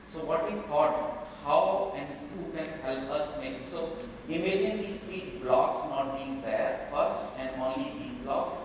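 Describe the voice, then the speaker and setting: An Indian man in his forties gives a keynote in a large conference hall, chatty and full of "you know" asides. The sound is heavily echoey with a long reverb tail, picked up from a distance with clear room noise underneath. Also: medium pitch. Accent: Indian English. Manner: conversational, with frequent "you know" and restarts. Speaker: keynote speaker. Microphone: lapel mic, far camera.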